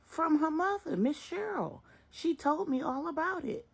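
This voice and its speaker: A woman's voice: sits high, animated, measured pace, gravelly, voice pushed up, full character voice. A woman voicing a blunt, knowing old mother in a story, sassy, emphatic, affectionate but scolding. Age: older.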